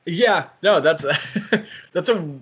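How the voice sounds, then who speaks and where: A man's voice: high voice, expressive, takes it slowly, breathy edge, highs cut off. A man co-hosting a podcast in casual discussion, talking in a hesitant, rambling way and correcting himself as he goes. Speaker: podcast co-host.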